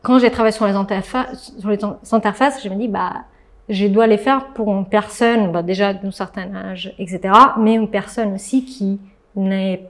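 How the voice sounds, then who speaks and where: A woman from Italy, native Italian speaker, talks at a design meetup. She tells little stories full of asides and animated turns. Her voice sits at a middle pitch. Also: moderate pace. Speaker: content designer, panelist. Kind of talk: casual panel discussion.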